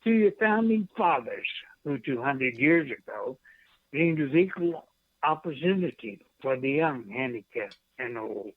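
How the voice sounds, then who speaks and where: An American man in his seventies speaking as a radio guest in a halting, plainspoken way, on a dull, muffled line. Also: medium pitch, animated, breathy edge, no room sound. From United States. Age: 70s. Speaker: guest, poet and veteran. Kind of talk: interview reminiscence.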